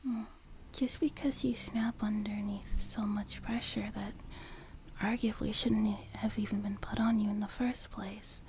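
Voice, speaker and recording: A woman playing a sweet, nurturing young demon girl in an ASMR roleplay, speaking in a soft, breathy whisper with a gentle, reassuring manner. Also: medium pitch, steady tone, lightly acted. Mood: earnest, reassuring. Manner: gentle, reassuring, slow and unhurried. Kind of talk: whispered ASMR roleplay, intimate personal attention. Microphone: close binaural microphone. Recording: noticeable background noise, close binaural mic.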